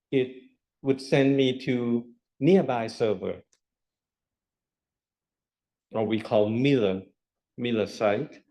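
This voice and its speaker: A man's voice: medium pitch, dark tone. A man lecturing a university class, explaining step by step with rhetorical questions and repetition. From Thailand.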